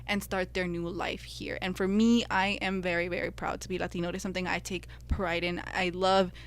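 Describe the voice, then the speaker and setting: A woman's voice: lowish voice, little pitch movement, fairly quick, clean tone. A young woman from the United States whose first language is Spanish, co-hosting a podcast and sharing her own experiences in an earnest, heartfelt way. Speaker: podcast co-host. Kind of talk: personal reflective podcast talk.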